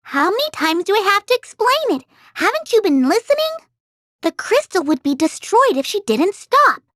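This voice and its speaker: Very high, squeaky bossy fairy. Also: female voice, sing-song, moderate pace, fairly bright tone, no room sound, clean studio sound, full character voice. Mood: impatient. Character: tiny bossy female fairy guide, perky and impatient. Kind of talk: English video game voice acting.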